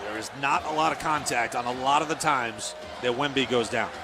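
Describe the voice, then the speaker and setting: A man's voice: mid-range voice, fairly quick, noisy background. An American man calling live basketball play-by-play on the radio in clipped, fragmentary phrases. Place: live sports broadcast from the game.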